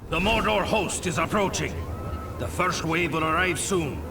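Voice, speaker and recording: An actor playing a dutiful dwarf soldier in a fantasy game, speaking in an urgent, emphatic way. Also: male voice, high-pitched, normal speed, heavy background noise, natural voice. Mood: briefing. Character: urgent, dutiful male dwarf soldier advising his king. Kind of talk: fantasy game character acting. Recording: loud music over the voice.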